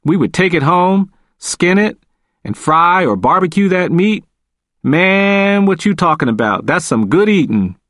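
Man doing a teasing male prisoner, slow country drawl, lifted voice, swoops in pitch. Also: lively intonation, no echo, studio quality. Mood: playful. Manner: slow, warm, joking, drawn-out phrasing.

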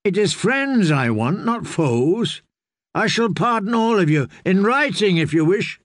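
A man voicing a cunning nobleman in a fantasy audiobook, formal, emphatic and deliberate, with a cold, commanding manner. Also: sits high, sing-song, no echo, studio quality, voice pushed up. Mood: conciliatory. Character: cunning nobleman, coldly angry, commanding, smooth in bargaining. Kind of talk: dramatic audiobook character voice.

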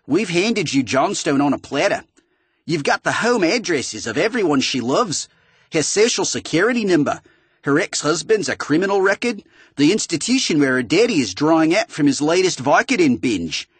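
A man's voice: a little high, very animated, normal speed, breathy edge, no room sound, voice pushed up. A man doing a taunting female robot voice. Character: female text-to-speech voice, bad Australian accent. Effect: phone call.

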